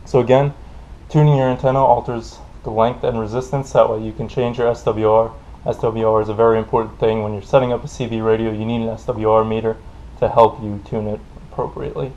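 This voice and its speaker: A man's voice: on the low side, level tone, fast, darkish tone, slight background noise. A man giving an informal technical explainer in a plain-spoken, loosely improvised way, as a tutorial presenter. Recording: dull, lo-fi voice recording.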